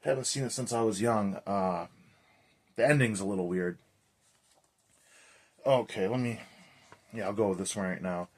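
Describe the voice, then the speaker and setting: A man with a hoarse, raspy voice chatting energetically as a horror movie vlogger, loose and full of fillers. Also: on the low side, moderate pace, pauses often. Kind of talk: casual vlog chat.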